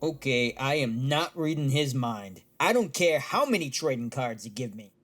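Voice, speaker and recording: A man's voice: mid-range voice, expressive, normal speed, fairly bright tone. A man playing a brash, nerdy card-collecting hustler with a mind-reading trade, haggling in a businesslike way in an audio drama. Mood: unbothered, haggling.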